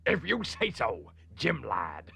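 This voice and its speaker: An actor playing a swaggering one-eyed old pirate captain, gravelly and raspy, with a hearty West Country pirate dialect full of drawn-out arrs. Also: male voice, mid-range voice, huge pitch swings, bright, forceful tone, heavily acted. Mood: gleeful, boisterous. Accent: stage West Country pirate.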